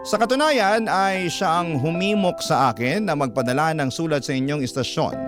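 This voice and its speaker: A man narrating as a radio host, reading scripted letters in a dramatic classic-radio storytelling style. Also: on the high side.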